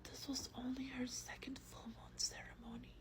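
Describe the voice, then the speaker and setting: A woman with a breathy whisper reads a story aloud in a slow, hushed, gentle ASMR style, as a vampire host close to the microphone. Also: high voice, flat delivery. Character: gentle female vampire host reading a bedtime book. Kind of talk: soft-spoken ASMR roleplay and book reading. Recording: faint background noise.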